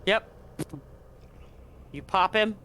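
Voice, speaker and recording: A man's voice: sits high, very slow, bright, forceful tone, a little hiss or hum. A man narrating as game master of a tabletop roleplaying game, casual, with fillers.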